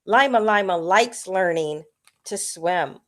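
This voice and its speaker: A woman reading a picture book aloud to children in a deliberate storytelling manner. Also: medium pitch, a bit bright.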